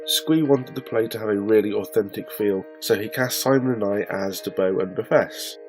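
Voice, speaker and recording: Lowish-voiced man narrating over music. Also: normal speed, a little mellow. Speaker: cast member. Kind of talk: scripted behind-the-scenes narration.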